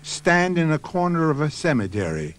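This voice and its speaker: A man hosting and narrating a radio drama in a slow, dramatic way. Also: on the high side, big pitch swings. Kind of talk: scripted narration.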